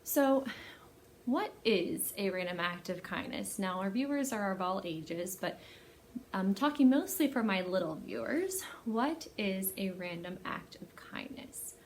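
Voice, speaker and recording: A woman hosting a library storytime, speaking to young children in an animated way as she presents and reads a picture book aloud. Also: mid-range voice, a little slow, a little hiss or hum.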